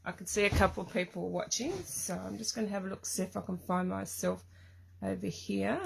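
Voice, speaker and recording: Croaky aussie lady rambling thru a craft livestream, lots of ums, bit gravelly, middle-aged. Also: mid-range voice, flat delivery, a little fast, background noise. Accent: Australian. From Tasmania, Australia. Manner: chatty, rambling, many ums and restarts. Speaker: craft demonstrator hosting a live stream. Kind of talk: casual live-stream chat. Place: home craft room.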